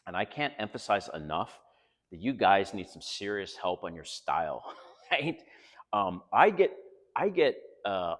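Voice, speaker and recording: A man's voice: deep voice, very animated, unhurried, long reverb tail. An older man from Canada with a Canadian accent, giving blunt, frank dating advice straight to his viewers in a casual monologue.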